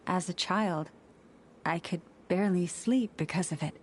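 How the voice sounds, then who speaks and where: An actress voicing a serene, gentle young antlered moon priestess in a video game, speaking in a measured, poetic, formal way. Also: female voice, lowish voice, noisy background, close to an everyday voice. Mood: solemn, reflective. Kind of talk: scripted video game dialogue.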